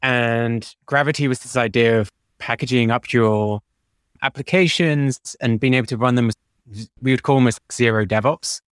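A man's voice: medium pitch, normal speed, clear, resonant tone, dry recording, studio quality. A man with a British accent, explaining technical work informally as a podcast guest. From United Kingdom. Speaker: podcast guest, DevOps engineer.

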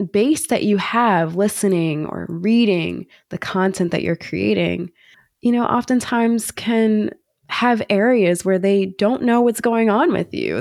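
A woman's voice: mid-range voice, a little mellow, no echo, clean studio sound. A woman co-hosting a podcast, a bit congested, talking in a thoughtful, explanatory way. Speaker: podcast co-host. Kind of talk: casual podcast chat.

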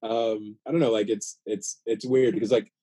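A man in his late thirties with an American accent speaks in a mellow voice, recorded close and dry. He chats in a sincere, reflective way, often pausing and repeating himself as he thinks. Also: lowish voice, steady tone, breathy edge, no room sound. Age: late 30s. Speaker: podcast guest, new father. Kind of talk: casual heartfelt chat.

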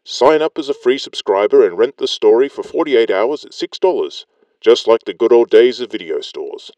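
A man's voice: medium pitch, lively intonation, normal speed, darkish tone, no room sound, dull audio. A man reading a scripted promotional message as an announcer.

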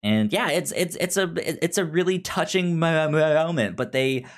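A man chatting casually as a podcast co-host, retelling a story in a halting way and often repeating the starts of words. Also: on the high side. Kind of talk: casual chat, retelling a TV show episode.

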